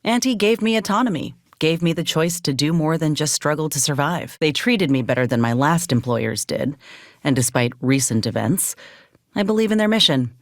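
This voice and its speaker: A woman's voice: low voice, lively intonation, normal speed, no room sound. A battle-worn frontier woman soldier in a video game, loyal and guarded. She talks in a folksy, plainspoken way with a dry, wry edge. The sound is dry, with no room on it. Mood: earnest, determined. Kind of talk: video game character dialogue.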